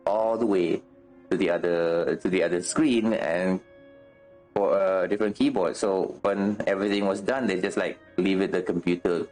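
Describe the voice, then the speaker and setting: A man chatting casually as a game streamer, halting and repeating himself as he searches for words, mildly exasperated. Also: low-pitched, fairly even intonation, darkish tone, poor audio. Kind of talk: casual livestream chat while gaming. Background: music.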